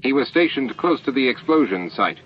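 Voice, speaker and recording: A man's voice: medium pitch, sing-song, normal speed, pitched above the performer's own voice, lightly acted. A stern, scowling alien security chief, played by a male reader makes formal accusations in a science fiction audiobook. The recording is lo-fi with faint noise. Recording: dull, noisy lo-fi book-on-tape recording.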